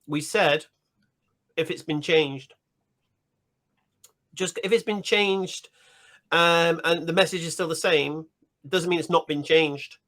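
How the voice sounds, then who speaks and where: A man with a slightly high voice cross-examines a caller. He presses short questions and cuts in repeatedly. He insists on direct answers. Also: fairly even intonation, takes it slowly, long pauses, pushed and bright. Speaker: debater.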